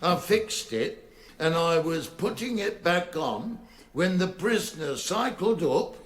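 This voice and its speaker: An actor with an English accent playing an old, plain-spoken country uncle giving halting evidence in a radio drama. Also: male voice, on the high side, animated. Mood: earnest, flustered. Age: older.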